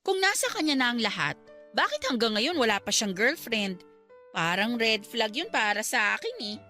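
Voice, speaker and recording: A woman's voice: fairly high pitch, big pitch swings, normal speed, pushed and bright, faint music behind. A woman playing a guarded, skeptical young single teacher in a Tagalog radio drama, talking in a conversational way.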